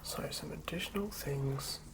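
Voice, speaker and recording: A person whispering softly and haltingly in an unhurried ASMR style, as a host talking through and reading tabletop game material. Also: medium pitch. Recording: lo-fi whisper with clear background noise.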